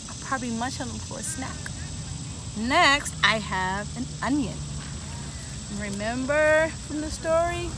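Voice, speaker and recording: A woman in her thirties reading a picture book aloud to children and then touring a garden with them, asking lively questions. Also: high-pitched, measured pace. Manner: lively, talks straight to kids with questions. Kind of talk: children's story time read-aloud and garden tour. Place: outdoor garden, seated on a bench then walking among plants. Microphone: camera a few feet away, no visible mic. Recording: outdoor camera audio with steady insect noise.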